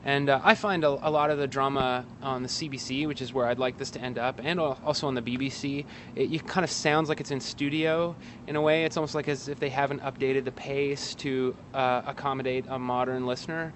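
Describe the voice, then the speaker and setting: A man giving a casual interview as a guest, speaking in a hesitant, halting way with wry asides, outdoors in an alley. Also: mid-range voice, steady tone, fairly bright tone. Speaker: guest, actor and playwright. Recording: street noise, traffic outdoors.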